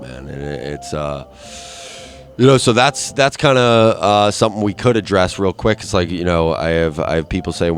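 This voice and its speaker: A young man with a stuffed-up nose rambling through a crude, self-deprecating solo monologue, full of restarts and asides. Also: deep voice. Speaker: podcast host.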